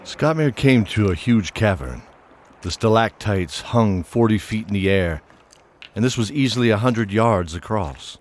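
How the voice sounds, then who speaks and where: A man's voice: lowish voice, animated, measured pace. A man reading scripted narration for a fantasy audio drama in a descriptive storytelling manner.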